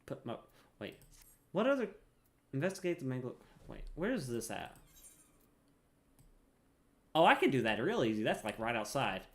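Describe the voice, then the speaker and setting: A man's voice: medium pitch, sing-song, moderate pace, pauses often, a bit bright. A man giving casual gameplay commentary, muttering asides to himself and exclaiming playfully. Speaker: gamer recording a playthrough.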